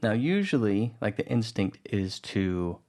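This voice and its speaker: A man's voice: lowish voice, big pitch swings, unhurried, warm tone. A man giving an informal, conversational tutorial voice-over, explaining things as a producer. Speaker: audio drama producer, tutorial presenter.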